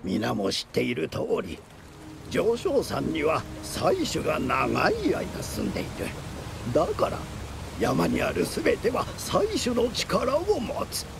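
An actor playing a theatrical storyteller of eerie legends, speaking with deliberate suspense and a gravelly creak. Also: male voice, fairly high pitch, wildly swooping pitch, heavily acted. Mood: knowing, theatrical. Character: theatrical male storyteller spinning eerie mountain legends, dramatic, knowing. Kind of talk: dramatic oral storytelling. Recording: loud music under the voice.

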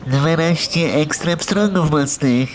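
A man's voice: fairly high pitch, slow pace, a little hiss or hum. A man voicing a naive, cheerful fishing buddy in a cartoon, talking in a simple, colloquial way. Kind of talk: cartoon dialogue.

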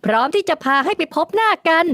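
A man's voice: very high-pitched, big pitch swings, fast talker, bright, forceful tone, no echo, clean studio sound, pitched above the performer's own voice, full character voice. A male narrator voicing a haughty, spiteful young woman who scolds shrilly and sweet-talks her master. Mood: wheedling.

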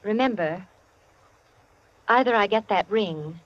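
An actress playing an imperious, determined society hostess in a 1940s radio drama, commanding and measured in manner. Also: female voice, mid-range voice, animated. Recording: muffled vintage 1940s radio recording.

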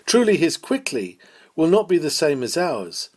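A man reading a Victorian sermon aloud in a measured, formal, earnest manner. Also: fairly high pitch, sing-song. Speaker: sermon reader.

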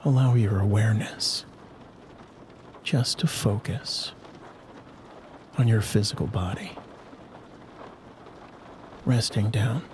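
A man with a lowish voice guides listeners toward sleep in a hypnosis narration. He speaks very slowly and soothingly, leaving long pauses between short phrases. A soft bed of rain and thunderstorm sound sits behind his voice. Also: a little hiss or hum. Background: rain and distant thunder ambience.

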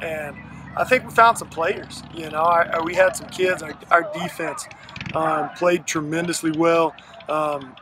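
An American man in his thirties, a high school football coach, giving a postgame interview in a halting, folksy way with repeated words. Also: fairly bright tone. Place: outdoor football field at night. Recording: noisy outdoor field, vehicle-like ambience.

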